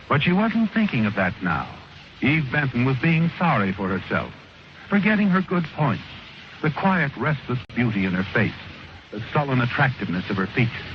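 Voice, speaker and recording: A man's voice: medium pitch, lo-fi sound, lightly acted. Man playing an ominous, dramatic old radio narrator. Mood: brooding. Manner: dramatic, insinuating, addresses the character directly. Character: ominous, mocking narrator of dark crime tales. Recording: noisy, band-limited old radio recording.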